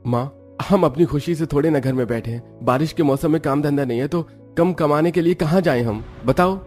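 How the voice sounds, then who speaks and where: A man's voice: fairly high pitch, brisk, a little mellow, faint music behind, lightly acted. A man playing a hard-up, testy but caring village labourer in a cartoon story, earnest and defensive. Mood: irritated, defensive.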